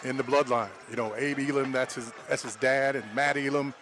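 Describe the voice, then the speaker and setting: A man's voice: mid-range voice, steady tone, a little fast, noisy background. An American man giving live color commentary on a football game in a conversational, explanatory way. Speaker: color analyst, former player.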